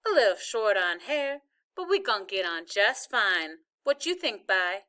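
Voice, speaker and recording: A woman's voice: medium pitch, very animated, unhurried, bright, forceful tone, clear, resonant tone. A woman reading a story, voicing a feisty, affectionate old grandmother with a Southern US accent and an emphatic, folksy way of talking. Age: older. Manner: folksy, emphatic, scolding yet fond.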